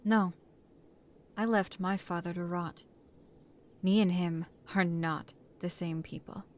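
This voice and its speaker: A woman's voice: fairly low pitch, steady tone, slow pace. A woman playing a commanding, vengeful young chief detective in an audio drama, with a firm, curt, authoritative delivery. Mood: defiant, angry. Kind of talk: audio roleplay drama acting.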